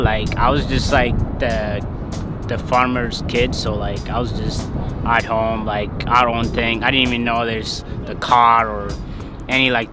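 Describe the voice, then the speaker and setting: A young man from Ethiopia telling his own story in a loose, hesitant way, full of fillers. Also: mid-range voice. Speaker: interviewee. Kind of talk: casual personal storytelling.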